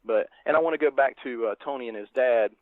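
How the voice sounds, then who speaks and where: A man's mid-range voice comes over a narrow, phone-like line with no room sound. He is a podcast co-host discussing a film casually, in a halting, reflective and appreciative manner. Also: medium pitch, dry recording. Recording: thin phone-like line, dry.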